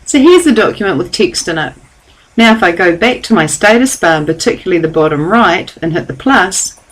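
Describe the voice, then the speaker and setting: A woman giving a calm, step-by-step software tutorial over a screen recording. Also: fairly low pitch, low-quality recording. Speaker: software trainer.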